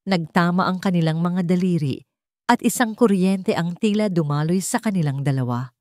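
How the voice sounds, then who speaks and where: Female storyteller, slightly low voice, dry close recording, scripted sentimental romance narration. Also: normal speed, mellow, dry recording.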